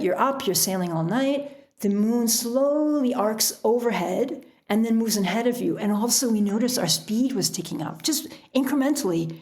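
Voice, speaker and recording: A middle-aged woman telling stories casually as an interview guest, in an animated, chatty way. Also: medium pitch. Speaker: podcast guest, author and sailor.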